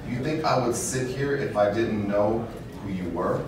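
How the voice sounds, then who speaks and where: A blunt, exasperated classmate played by a man speaks in a lowish voice. The audition scene is recorded from a distance in a very echoey room with clear noise. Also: a little slow, lightly acted. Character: blunt, impatient male high-school classmate.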